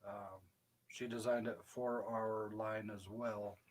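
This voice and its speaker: A soft-spoken man co-hosting a casual livestream chat, talking quietly and a little away from a shared microphone. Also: fairly low pitch, level tone, slow pace. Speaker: co-host. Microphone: shared desk mic, off-axis.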